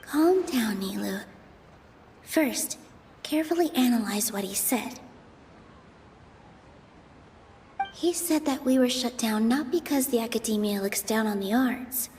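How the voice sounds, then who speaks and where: A woman voicing an earnest, gentle young theater dancer in a video game, reasoning sincerely and carefully. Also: fairly high pitch, expressive, normal speed, slight background noise, close to an everyday voice. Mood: hushed, thoughtful.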